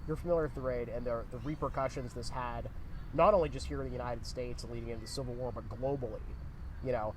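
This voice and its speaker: A man's voice: medium pitch, fairly even intonation, quick pace, a little hiss or hum, rough recording. A man who is sick, chatting casually as a co-host, recorded outdoors. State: sick with a cough. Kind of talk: casual chat. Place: outdoors at a historic site.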